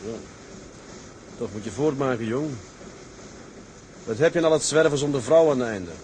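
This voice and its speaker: A man's voice: mid-range voice, expressive, moderate pace, a little mellow, a little hiss or hum, natural voice. A man playing a stern, lonely, principled tugboat captain in a radio drama, speaking earnestly and tersely. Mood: matter-of-fact. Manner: earnest, terse, matter-of-fact. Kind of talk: Dutch radio drama acting.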